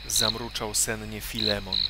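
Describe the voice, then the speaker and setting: A man narrating a children's story in an expressive, dramatic way. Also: on the low side, a little slow, very noisy.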